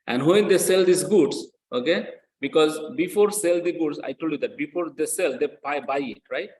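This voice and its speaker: A man's voice: on the high side, normal speed, a little mellow, slight background noise. A man lecturing in accounting step by step as a non-native English speaker, repeating phrases and often checking that students follow. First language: not English. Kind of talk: classroom lecture, explaining step by step. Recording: echoey room sound.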